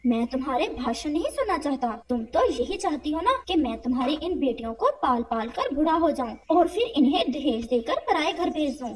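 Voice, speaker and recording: Harsh cartoon father bird, bossy and scolding, rapid high-pitched delivery female performer. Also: lively intonation. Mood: angry, threatening. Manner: stern, scolding, threatening. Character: harsh, domineering male bird husband demanding a son.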